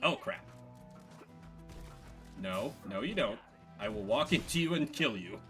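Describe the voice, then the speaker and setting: A man giving casual, excitable commentary while playing a video game. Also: medium pitch, a little slow, soft background music. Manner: animated, excitable.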